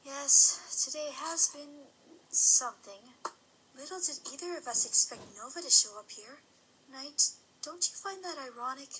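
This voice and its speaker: A woman's voice: high voice, unhurried, pushed and bright, a little hiss or hum, heavily acted, poor audio. A woman playing an anxious, caring pony wife and mother in an audio drama, with a worried, questioning manner. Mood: musing, uneasy.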